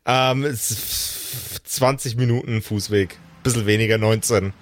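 A man's voice: medium pitch, steady tone, measured pace. A man narrating an improvised tabletop role-play as game master.

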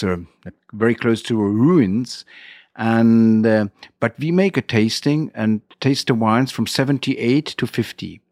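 A middle-aged man with a German accent, telling his story as an interview guest in halting, non-native English phrasing. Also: on the low side, no room sound, clean studio sound.

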